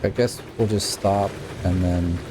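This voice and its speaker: A man's voice: deep voice, steady tone, unhurried, background noise. A man from Utah giving casual, rambling commentary as he plays a video game, muttering at it as he goes. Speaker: gaming YouTuber. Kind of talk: casual let's-play commentary.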